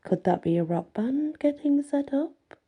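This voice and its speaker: A woman's voice: sits high, lively intonation, a little slow, muffled recording, pitched above the performer's own voice. A woman voicing a playful, earnest young werewolf woman in a fantasy audiobook, teasing and fond of her mate. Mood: feigned surprise. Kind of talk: first-person fantasy audiobook dialogue.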